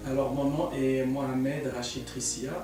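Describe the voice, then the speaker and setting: A man's voice: mid-range voice, level tone, unhurried, music in the background. A man from Morocco, an immigration consultant, earnestly explaining on a studio panel step by step, with many fillers. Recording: echoey room, mic far off.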